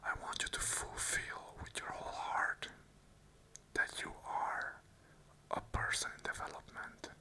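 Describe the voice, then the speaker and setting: An ASMR host whispering an unscripted monologue of earnest, repeated affirmations in short phrases. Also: a little slow. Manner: whispers the whole way, soft and unvoiced.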